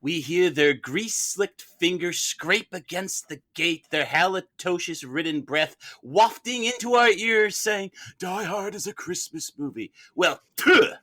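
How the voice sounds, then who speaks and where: Man, bright forceful voice, unhurried pacing, theatrical mock-grave oratory, comic defense lawyer. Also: high-pitched, expressive. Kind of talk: scripted comic courtroom speech.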